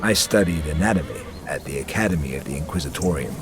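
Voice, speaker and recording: A grim inquisitor in a game cutscene, with a low voice. He is terse, dry and sharp-tongued, coolly matter-of-fact over music. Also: animated, fast. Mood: dry, probing. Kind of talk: dramatic video game cutscene acting.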